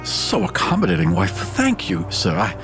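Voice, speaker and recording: A man's voice: on the low side, wildly swooping pitch, moderate pace, gravelly, over music, exaggerated voice. A man voicing a courtly, eager, blue-scaled male mage who talks in a florid, polite, theatrical way. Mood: courteous, eager.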